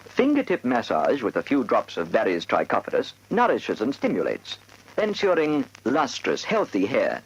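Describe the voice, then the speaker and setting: Swooping, husky vintage radio ad man. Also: mid-range voice, wildly swooping pitch, normal speed. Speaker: advertising announcer. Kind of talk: old-time radio commercial read. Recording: dull, lo-fi old radio recording.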